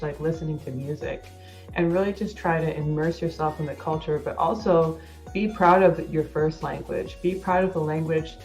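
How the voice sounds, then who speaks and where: Woman, from Ohio, Arabic first language, very low voice, earnest, measured, faint music underneath. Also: darkish tone, rough recording. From Ohio, USA. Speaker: PhD candidate. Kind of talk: profile video narration.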